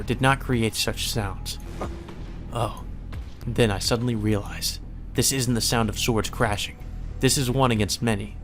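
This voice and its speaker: A man hosting a let's play, reading a visual novel aloud as narration and dropping in informal, casual remarks of his own. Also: mid-range voice. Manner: informal, swears in asides. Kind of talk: narrated read-through with casual side comments. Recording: music playing behind the voice. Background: background music.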